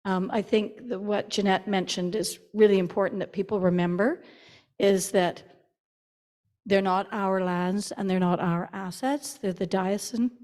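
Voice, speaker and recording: A woman speaking from the floor at a church meeting, earnest and halting with many fillers, into a handheld microphone. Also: mid-range voice, steady tone, a little mellow. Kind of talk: public comment at a parish meeting.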